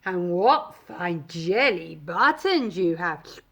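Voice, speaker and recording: A woman voicing a very hungry storybook cow with a drawn-out, mooing delivery. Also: on the low side, big pitch swings, voice pushed down, full character voice.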